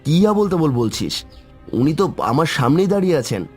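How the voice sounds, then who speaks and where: A man's voice: on the high side, very animated, fast talker, darkish tone, slightly rough, faint music behind, voice pushed up. A man as a dismissive, skeptical young man. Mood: disbelieving. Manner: dismissive, scolding. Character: bold, skeptical young man, scornful of cowardly friend.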